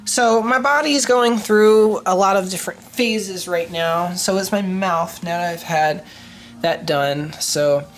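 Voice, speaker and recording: A woman's voice: medium pitch, a little slow. A young woman with a stuffy, congested voice from a cold, chatting enthusiastically with lots of ums and restarts as she shows off a collected item. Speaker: streetlight collector and vlogger. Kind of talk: casual show-and-tell vlog.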